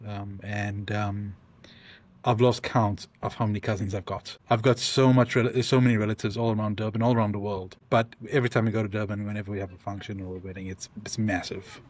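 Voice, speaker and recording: A South African man, a podcast guest, talking through his story in halting restarts and frequent ums. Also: lowish voice, level tone, a little mellow. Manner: thoughtful, speaks in halting restarts with many ums. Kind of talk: conversational interview.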